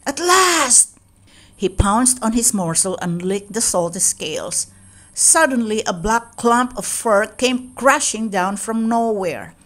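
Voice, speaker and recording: A woman reads a children's story aloud at a slow, careful pace. Her narration is expressive and deliberate, with dramatic weight on key words. She speaks in a mid-range register. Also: medium pitch.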